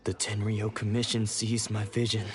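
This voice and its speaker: A man's voice: on the low side, little pitch movement, normal speed, close to an everyday voice. An actor voicing a troubled, humble swordsman in a video game, with formal, brooding speech. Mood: anguished, resigned. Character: troubled, humble swordsman who has lost his memories. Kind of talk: English video game voice acting. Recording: game audio with music.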